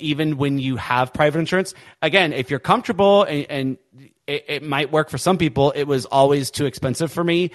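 Impassioned man hosting a podcast. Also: medium pitch. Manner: impassioned, emphatic. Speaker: podcast host. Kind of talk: political podcast chat.

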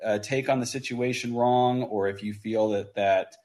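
A man calling in to a talk show, laying out his view in a measured way and hedging with "sort of". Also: fairly low pitch, fairly even intonation, darkish tone. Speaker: caller.

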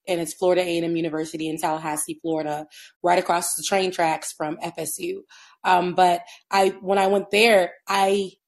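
A young woman whose first language was Haitian Creole, co-hosting a podcast and candidly telling her story in casual, slangy talk. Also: lowish voice. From United States, Haitian immigrant family. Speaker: podcast co-host.

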